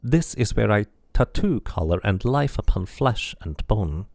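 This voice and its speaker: A man voicing a solemn male dabus tattooist who speaks in slow, cryptic pronouncements. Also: lowish voice, lively intonation, vocal fry, darkish tone, no echo, full character voice.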